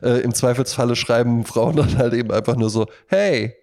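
A man's voice: medium pitch, moderate pace, darkish tone. A man chatting casually as a podcast co-host, joking and acting out little quotes as he explains things. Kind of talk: casual conversational chat.

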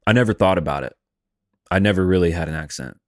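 A man's voice: deep voice, lively intonation, fast talker, dry recording, studio quality. A man chatting casually as a podcast co-host, blunt and profane but reflective. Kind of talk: casual chat.

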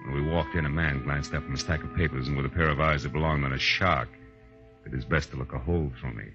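Tough wry american detective, deep punchy voice, old muffled radio show. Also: male voice, fairly even intonation, fast talker. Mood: wry narration. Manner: hard-boiled, wry, clipped. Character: tough, wisecracking male private detective. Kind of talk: 1940s radio detective drama acting and narration. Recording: dull 1940s radio recording, faint music.

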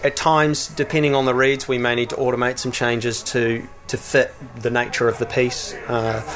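A man's voice: mid-range voice, normal speed. A man who produces radio promos, talking casually in an interview with frequent fillers and restarts. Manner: relaxed, hesitant, with many fillers and restarts.

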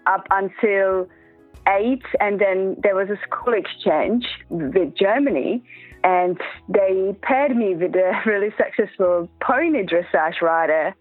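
A woman's voice: mid-range voice, takes it slowly, dry recording, phone-quality sound, faint music behind. A woman from the Czech Republic with a Czech accent, chatting as an interview guest and coach, often repeating words for emphasis. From Czech Republic, Prague. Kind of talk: casual interview chat.

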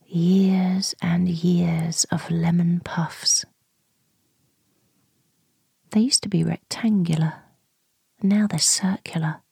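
A woman playing a weary, grieving middle-aged niece in an intimate radio drama monologue, breathy, hushed and deliberate. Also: fairly low pitch, dry recording, clean studio sound, heavily acted. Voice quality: breathy, whispery.

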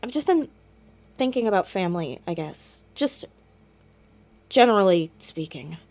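A woman's voice: medium pitch, big pitch swings, unhurried, a little mellow. A young woman playing a blunt, sardonic, self-conscious teenage girl in a naturalistic audio drama, easily exasperated. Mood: defensive, uneasy.

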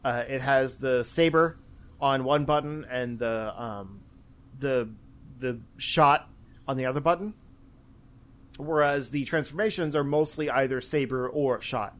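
A man's voice: mid-range voice, measured pace, fairly bright tone. Casual, rambling man talking games. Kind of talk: casual chat about video games.

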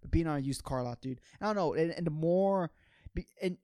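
A man's voice: fairly high pitch, fairly quick, dark tone, clear, resonant tone, no echo, studio quality. A man hosting a podcast, talking in a casual, rambling, slangy and profane comedic monologue, often repeating phrases for emphasis. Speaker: podcast host.

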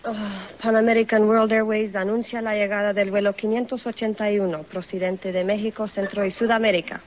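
A woman's voice: medium pitch, level tone, quick pace. Woman contestant on muffled old radio. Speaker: quiz contestant, airline announcer. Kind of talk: answering interview questions. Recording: muffled old radio, faint noise, audience.

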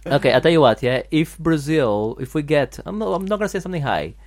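Male podcast host, heavy foreign accent, halting, loose and chatty. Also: on the high side, expressive, darkish tone. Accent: foreign accent, strong. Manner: rambling, halting, many restarts and fillers. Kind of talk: casual podcast chat.

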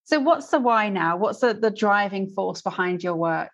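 A woman's voice: mid-range voice, expressive, normal speed. A woman interviewing a guest, asking brief, curious questions. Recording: dull lo-fi audio.

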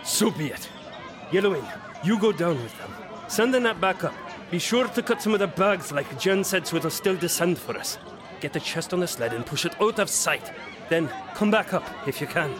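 A man's voice: high-pitched, expressive, moderate pace, crowd noise. A man playing a brave, terse leader of an adventuring party in a fantasy audio drama, speaking in clipped orders. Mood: commanding, urgent. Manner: clipped, commanding.

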